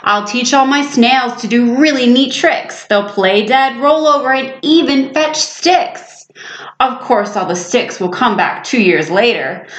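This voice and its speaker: An excitable schoolboy full of big dreams , acted by a woman reading a storybook. He declaims grandly and eagerly, taking his time over each boast. The voice is fairly high, in a roomy, slightly dull recording. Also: a little slow. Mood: eager, excited. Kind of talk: storybook read-aloud. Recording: echoey room, slightly muffled sound.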